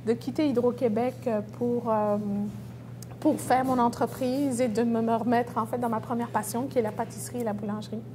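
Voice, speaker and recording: A woman's voice: fairly high pitch, fairly even intonation, fairly quick, noisy background. A middle-aged woman from Belgium giving conversational answers as an entrepreneur in an interview. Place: restaurant.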